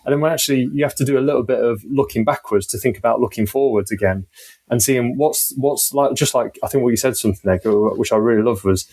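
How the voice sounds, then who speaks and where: A man's voice: mid-range voice, fast talker, a little mellow, slightly rough. A man chatting casually as a podcast guest, rambling and reflective, often checking for agreement. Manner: rambling, reflective, seeks agreement with tag questions. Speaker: podcast guest with audio engineering background. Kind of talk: casual conversation.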